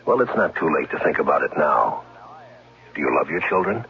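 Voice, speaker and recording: A man's voice: rumbling low, sing-song, brisk, gravelly. A man reading an earnest scripted public service announcement on 1970s radio. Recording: dull vintage radio recording.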